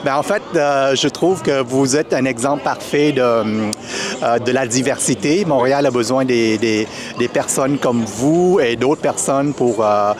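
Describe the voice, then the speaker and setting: A man's voice: mid-range voice, a little slow, crowd noise. Hesitant Vietnamese-born man speaking outdoors. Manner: hesitant, many fillers and restarts. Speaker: festival volunteer. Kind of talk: street interview. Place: outdoors at a city festival.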